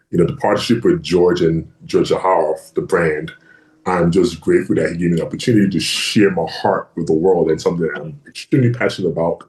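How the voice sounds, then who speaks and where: A very low-voiced man from Nigeria whose first language is Yoruba, answering interview questions over a video call. He talks in a boastful, easygoing way, leaning on "you know" between his thoughts. His voice rings in a roomy, echoey space. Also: darkish tone. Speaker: guest wrestler. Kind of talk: casual interview.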